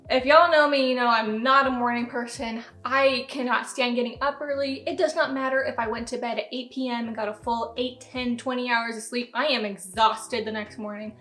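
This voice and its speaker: A woman's voice: on the high side, a bit bright. A woman of twenty-seven talking casually to camera in a chatty, rambling, upbeat way. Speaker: vlogger. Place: home, sitting down.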